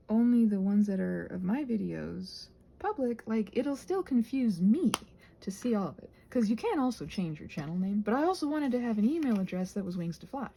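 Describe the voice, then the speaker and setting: A woman with a fairly high, mellow voice chats in a casual ASMR ramble. She thinks aloud softly and meanders, poking fun at herself, with a noticeable fry in her speech. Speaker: ASMR creator rambling while crafting.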